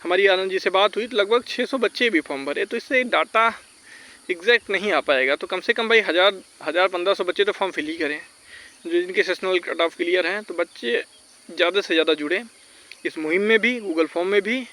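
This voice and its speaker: A man's voice: high voice, a little fast, pushed and bright. A man giving informal, insistent advice to students, cautioning and repeating his points. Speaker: exam-advice video creator. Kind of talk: informal advisory talk to students.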